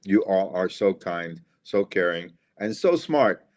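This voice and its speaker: A man's voice: deep voice, animated, a little slow, darkish tone. A middle-aged American man from Florida, an engineer and school speaker, giving upbeat, motivational interview answers and repeating his key phrases. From USA, Florida.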